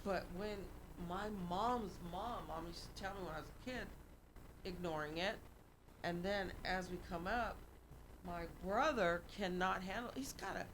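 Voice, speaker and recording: A middle-aged woman, a guest, telling a story in an animated, emphatic way. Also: fairly low pitch, a little slow, noisy background. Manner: animated, emphatic, quotes voices in her stories. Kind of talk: casual storytelling.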